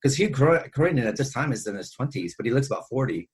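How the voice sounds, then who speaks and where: A man with a husky voice talking casually and ramblingly as a podcast host discussing film. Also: medium pitch, very animated, fast.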